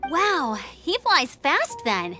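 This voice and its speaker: Eager, very high-voiced young knight girl. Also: extremely slow, soft background music, full character voice. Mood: surprised. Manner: earnest, encouraging, energetic. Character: eager young female knight and gliding instructor. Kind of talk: video game voice acting.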